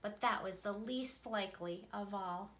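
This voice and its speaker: A woman, a teacher, reading a children's picture book aloud with playful, expressive delivery. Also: medium pitch, unhurried, heavy background noise. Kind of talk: storybook read-aloud.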